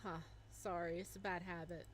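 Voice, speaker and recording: A woman reading the lines of a gentle, soft-spoken young man in a visual novel, with a subdued, lowered voice. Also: flat delivery, moderate pace, a little hiss or hum, close to an everyday voice. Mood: apologetic.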